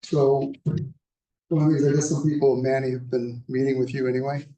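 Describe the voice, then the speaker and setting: An older man with a soft, mellow voice gives his public comment at a city meeting. He talks in a halting way, flat in tone, with many fillers. He sits back from the microphone in a roomy, echoing chamber. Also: mid-range voice. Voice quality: soft-spoken. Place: council chamber. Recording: echoey chamber, mic at a distance.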